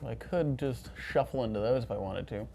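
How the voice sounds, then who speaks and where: A woman's voice: rumbling low, brisk, vocal fry, dark tone, slightly rough. A woman chatting casually as a player in a tabletop role-playing game.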